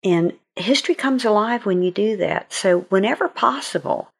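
A woman's voice: medium pitch, lively intonation, moderate pace, creaky. A woman presenting an informal educational history segment for children as an author.

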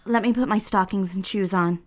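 A woman's voice: medium pitch, fairly quick, close to an everyday voice. An actress playing an anxious young female secretary, apologetic and unsettled. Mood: wistful. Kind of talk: audio drama acting.

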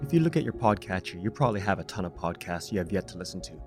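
A man with a slightly low voice hosts a podcast. He delivers announcements and personal recommendations over faint music. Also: very animated, racing. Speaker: podcast host.

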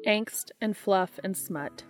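A woman's voice: medium pitch, slow, soft background music. A woman reading fiction aloud as a narrator, in scripted audiobook style. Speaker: reader of fan fiction audio episodes.

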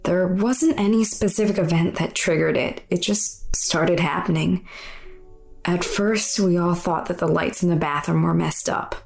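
A young, weary non-binary narrator confides in an audio drama monologue. The voice sits low with a frequent gravelly fry, conversational and candid. Music plays clearly underneath. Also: female voice, measured pace. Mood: candid, wistful. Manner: plain, conversational first-person storytelling. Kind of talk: confessional monologue in audio fiction.

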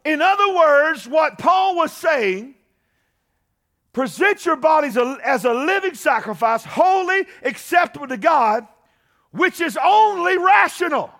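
A man preaches, his voice pitched very high and ringing bright. He moves from conversational teaching into fervent, shouted climaxes, calling out to his congregation. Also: big pitch swings, unhurried. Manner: impassioned preaching, shouting at peaks. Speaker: pastor. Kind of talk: sermon.

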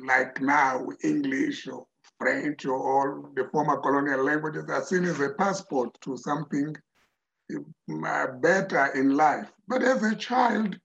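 A man's voice: fairly high pitch, measured pace, gravelly, fairly bright tone, dull audio. An older man from Mozambique, a linguist whose first language is Tsonga, answering interview questions thoughtfully and repeating words as he thinks. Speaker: linguist guest.

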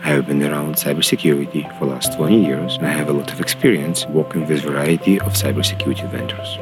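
Very deep-voiced podcast host over music. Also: male voice, fast talker. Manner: careful, formal phrasing with small slips. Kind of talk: interview host.

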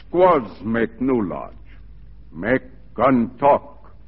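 An actor playing a proud, stern old Cree chief who speaks solemn, halting broken English in 1940s radio style. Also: male voice, medium pitch, sing-song, slightly rough, heavily acted. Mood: stern, challenging. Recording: dull, muffled 1940s radio recording.

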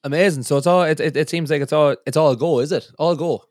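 A man's voice: fairly high pitch, fast, darkish tone, no room sound, clean studio sound. A man hosting a music podcast, talking in a friendly, casual way with frequent restarts. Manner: friendly, chatty with many restarts. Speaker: podcast host.

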